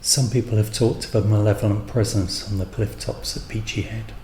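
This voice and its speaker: A man's voice: fairly low pitch, steady tone, fairly quick, warm tone, echoey room, a little hiss or hum. A man reading scripted literary narration as the writer and narrator of a story.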